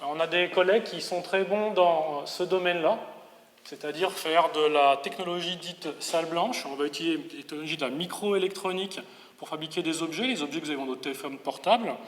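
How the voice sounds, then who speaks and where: In a reverberant hall, a man in his forties with a metropolitan French accent delivers a public lecture. His voice sits a little high for a man. He explains like a professor, hesitantly rewording as he goes. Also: fairly quick. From Paris region, France. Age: 40s. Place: large reverberant lecture venue. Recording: echoey hall with long reverb.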